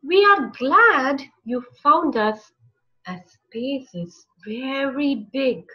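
A high-voiced woman reads a storybook aloud to young children at a slow, unhurried pace. Her intonation swings expressively from phrase to phrase. The recording is slightly dull, with music playing behind her. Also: lively intonation. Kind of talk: read-aloud picture book narration for young children.

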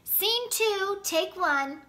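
A woman voicing a commanding storybook film crew member, calling out clipped orders loudly. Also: extremely high pitch, lively intonation, drawn-out words, pitched above the performer's own voice, heavily acted.

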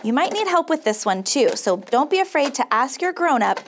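A woman's voice: high voice, sing-song, fairly quick, a little hiss or hum. An American woman in her forties hosting a children's craft show, giving step-by-step instructions in an encouraging, upbeat way. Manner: encouraging, upbeat, sing-song praise. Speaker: children's craft show host, camp counselor. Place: small indoor studio set.

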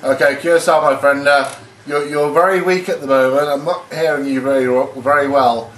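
A man's voice: a little high, steady tone, brisk, fairly bright tone. Guy on ham radio, echoey room, bit of hiss, hesitant, keeps repeating himself. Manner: hesitant, repeats phrases and spells words phonetically. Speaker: amateur radio operator. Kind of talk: casual ham radio contact chat.

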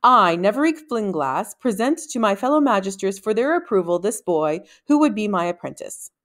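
A gruff old male wizard from a storybook, read by a woman. He declares things curtly and with stiff formality. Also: mid-range voice, sing-song, moderate pace, a bit bright.

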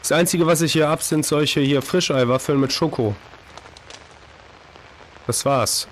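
A hoarse man in his thirties with a cold, casually commenting on a horror game at a desk microphone, reacting jumpily to scares. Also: on the high side, measured pace, a little hiss or hum. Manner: chatty, jumpy reactions to scares. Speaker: let's play host. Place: home streaming room, indoors. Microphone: desk microphone, headset on.

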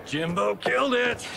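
An actor playing a cocky, vain fading martial arts film star in a video game, smug and wisecracking. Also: male voice, sits high, gravelly, noisy background, exaggerated voice. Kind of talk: cinematic video game acting.

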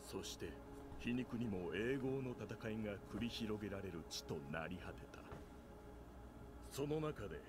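An actor playing a grave storyteller who narrates a dark fantasy game cinematic in a solemn, measured way. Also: male voice, fairly low pitch, lively intonation. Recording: music under the voice.

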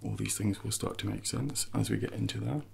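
Soft-spoken man with heavy vocal fry. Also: fairly even intonation, fairly quick. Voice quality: soft, breathy, gravelly low voice. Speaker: ASMR host role-playing a personal trainer. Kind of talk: soft-spoken ASMR role-play.

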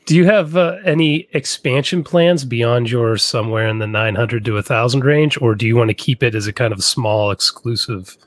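A man's voice: medium pitch, normal speed, few pauses, no room sound. Male podcast interviewer, dry close mic. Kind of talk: casual interviewing. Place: room at home, video call. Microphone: close desk microphone.

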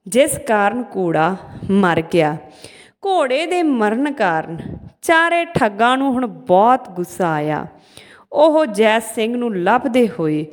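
A woman narrating a scripted folk tale as a storyteller, with deliberate, dramatic phrasing and pauses, in a heavily reverberant recording. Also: fairly high pitch. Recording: long hall-like reverb.

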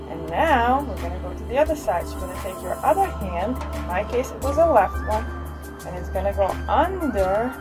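A young adult woman leading a movement lesson for children outdoors, giving simple, encouraging step-by-step instructions from a little way off the camera. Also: on the high side. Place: outdoors on a covered wooden deck. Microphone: camera a few steps away. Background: music.

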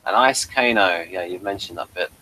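A man's voice: sits low, moderate pace, pushed and bright, low-quality recording. Man in casual review chat. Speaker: podcast co-host.

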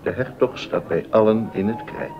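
A male actor plays a gossipy court musician in a period drama. His voice sits fairly low and he confides with dry sarcasm, phrasing things neatly. The recording is a little muffled. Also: moderate pace, natural voice. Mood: dryly resigned. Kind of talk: Dutch-language period docudrama acting. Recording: dull, muffled audio.

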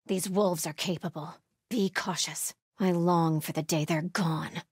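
An actress playing a devout, watchful cult sentry in a video game, speaking in a solemn, measured, pious way with a husky voice. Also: female voice, on the low side, fairly even intonation, no echo, studio quality. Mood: solemn vigilance. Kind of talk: video game ambient dialogue.

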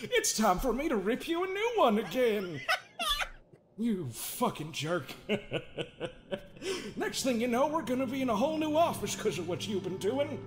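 A man's voice: extremely high pitch, very animated, unhurried, long pauses, soft background music, full character voice. A man voicing an unhinged, sardonic therapist with folksy menace, theatrical and mocking, in an improvised comedy dub of a horror game. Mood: mocking, irritated.

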